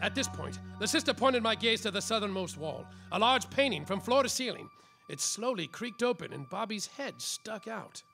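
Man as a gravelly high-voiced noir detective. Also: sing-song, moderate pace, bright, forceful tone, faint music behind, exaggerated voice. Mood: dry narrating. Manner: melodramatic, self-important. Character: world-weary, semi-sober, bumbling hard-boiled private detective. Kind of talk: noir parody narration and radio acting.

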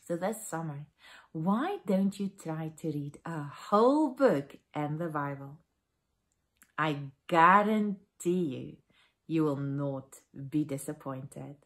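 A woman's voice: deep voice, slow pace. A woman in her forties with a South African accent, Afrikaans her first language, telling a Bible story to children for a family service from her living room. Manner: animated storytelling to children, asking questions. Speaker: minister.

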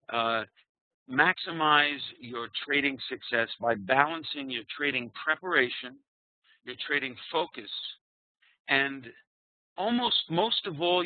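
Middle-aged New York man, trading coach; chatty, halting delivery over thin, dry webinar audio. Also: mid-range voice, no room sound. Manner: conversational, frequent fillers and restarts. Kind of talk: webinar presentation. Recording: tinny phone-like online audio.

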